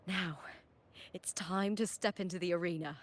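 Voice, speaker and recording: A woman's voice: lowish voice, steady tone, normal speed, lightly acted. A woman voicing a confident, spirited young gladiator and friendly battle partner in a video game. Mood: confident, explaining.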